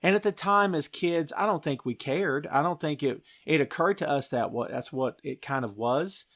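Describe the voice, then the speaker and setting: A man's voice: fairly high pitch, normal speed, a bit bright. Middle-aged American man, emphatic podcast host. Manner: emphatic, conversational, addresses listener as "dude". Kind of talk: casual film discussion.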